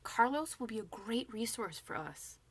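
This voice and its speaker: A woman's voice: a little high, animated, moderate pace, breathy edge, voice pushed up. A woman voicing a bossy, sneering young female zombie in a storybook reading, with a scornful, impatient manner.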